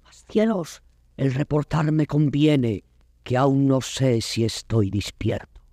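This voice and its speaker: A man's voice: mid-range voice, takes it slowly, mellow, no room sound, clean studio sound. A male actor playing a passionate young prince in theatrical, declamatory classical verse drama. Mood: commanding, wondering. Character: passionate young Polish prince torn between dream and honour. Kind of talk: classical Spanish verse stage acting.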